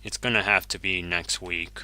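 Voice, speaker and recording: A man's voice: sits low, fairly even intonation, normal speed, pushed and bright, soft background music. A man whose first language is Japanese, chatting easily while streaming a game, chuckling often and correcting himself as he answers viewers. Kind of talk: casual gameplay commentary, reading chat.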